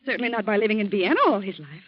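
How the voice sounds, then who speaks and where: A woman's voice: mid-range voice, lively intonation, very fast, natural voice. An actress playing an anxious, distraught young woman, searching and suspicious, in an old-time radio drama. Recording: muffled old radio recording.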